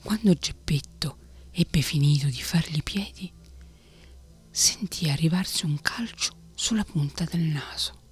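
A woman telling a bedtime story in an airy, breathy whisper. Her voice is low-pitched and her pace is slow. Faint music plays quietly behind her. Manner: soft whispering, unhurried. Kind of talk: whispered ASMR story reading.

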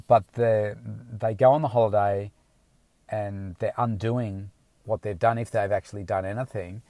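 A man's voice: lowish voice, steady tone, measured pace, warm tone, no room sound. A man, a fitness coach talking frankly and casually from his car, rambling with many restarts. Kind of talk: casual motivational talk to camera. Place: inside a car.

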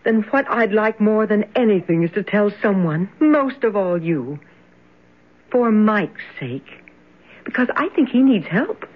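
A woman's voice: mid-range voice, expressive, a little slow. An actress playing a troubled, inquisitive young woman in an old-time radio drama, hesitant and confiding. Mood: anxious, confiding. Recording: dull, band-limited old radio recording.

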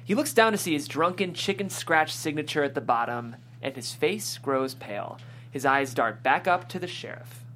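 A man's voice: a little high, lively intonation, normal speed, bright, forceful tone. A man reading stage directions as scripted narration at a comedy table read. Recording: clear background noise and hum.

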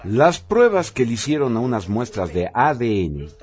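Male radio newsreader, lively pitch swings. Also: normal speed. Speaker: radio news presenter. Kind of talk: scripted radio newscast.

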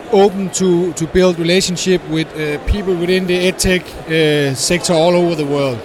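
A man describing his startup in a conference interview, hesitant with many pauses and non-native phrasing. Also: sits high. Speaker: guest, startup founder. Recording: noisy conference floor.

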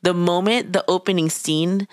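A woman's voice: on the low side, steady tone, moderate pace, clear, resonant tone, no room sound, studio quality. A young woman from the Philippines co-hosting a podcast, chatting casually and rambling as she searches for her words. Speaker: podcast co-host. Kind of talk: casual chat.

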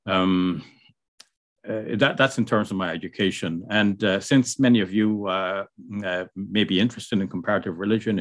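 An older man from Guyana, a guest scholar, answering interview questions in a measured, hesitant way with many fillers. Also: low voice, fairly bright tone.

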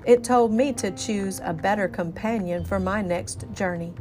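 A woman voicing a calm male traveler in a fable, dry and matter-of-fact. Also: medium pitch, normal speed, faint music behind, natural voice. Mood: wry.

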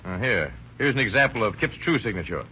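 A man's voice: on the low side, lively intonation, sharp tone. An actor playing an eager lawman who lays out evidence, in a 1930s radio drama. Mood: urgent. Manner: quick, emphatic. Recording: dull old radio recording with hiss.

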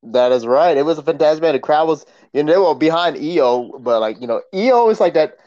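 A man's voice: on the high side, expressive, a little fast, warm tone, dull audio. A man co-hosting a wrestling podcast, chatting about sport in a casual, slangy way.

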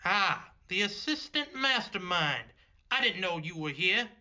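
A man's voice: high-pitched, sing-song, measured pace, sharp tone, exaggerated voice. An actor playing a sneering, crooked male witness in an old-time radio drama, with a sarcastic manner. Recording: dull, very noisy home recording.

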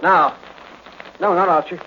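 Desperate threatening intruder, high voice, insistent, vintage radio drama, muffled with faint noise. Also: male voice, fairly even intonation, a little slow. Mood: agitated. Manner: agitated, insistent. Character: tense, threatening blackmailed man in his thirties. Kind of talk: old-time radio detective drama acting.

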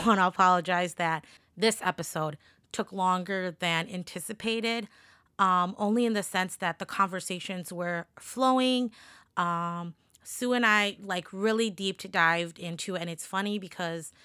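A woman hosting a casual podcast, slightly hoarse from a cold, chatty and upbeat with plenty of "like" and "um". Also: fairly low pitch, measured pace, pushed and bright, no echo, clean studio sound. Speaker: podcast host.

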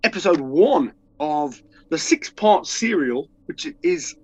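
A man's voice: on the high side, animated, slow pace, husky, lo-fi sound. A man co-hosting a casual podcast with a cold, a bunged-up voice, rambling and restarting his sentences. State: congested with a cold. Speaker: podcast co-host. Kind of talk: casual chat.

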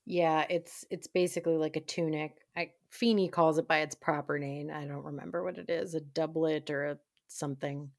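A woman with a slightly low voice recaps an old episode in casual chat. Her talk is wry and loose, full of little fillers. The recording is close and dry with no room sound. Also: fairly even intonation, normal speed, gravelly, hardly any pauses, darkish tone, no echo. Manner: wry, chatty, many "like" fillers. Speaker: podcast co-host.

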